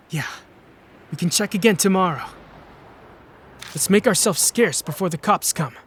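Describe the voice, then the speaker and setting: A man's voice: high-pitched, unhurried, slight background noise. A man acting a brooding, haunted young narrator. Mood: resolved. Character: troubled young man with psychic visions, narrating his story. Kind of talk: dramatic visual novel fan dub narration.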